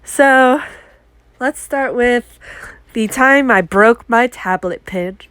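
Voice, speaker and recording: A congested woman telling casual, animated stories about her art mishaps as a vlogger. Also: a little high, takes it slowly, clear, resonant tone, dry recording. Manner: chatty, animated, acts out her own exclamations. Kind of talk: casual story time.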